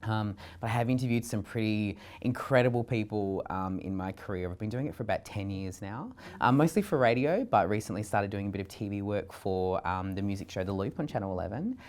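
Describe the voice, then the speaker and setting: A man chatting as a guest, a red carpet reporter who tells stories animatedly and acts out quotes with big jumps in pitch. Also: animated, brisk, hardly any pauses, darkish tone. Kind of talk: casual chat.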